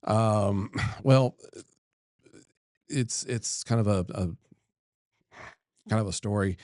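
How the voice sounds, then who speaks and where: A man's voice: on the low side, a little mellow, no echo, studio quality. A middle-aged man from Delaware, a state senator, chatting as a guest in a casual interview and telling stories in an easygoing, folksy way. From Delaware, USA. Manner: easygoing, folksy, unhurried storytelling.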